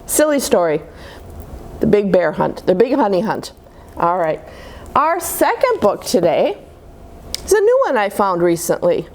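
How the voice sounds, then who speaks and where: A woman reading picture books aloud to young children in an expressive, explaining manner. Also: medium pitch, measured pace, long pauses. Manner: animated, simple explanations to children. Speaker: children's storybook reader at a church.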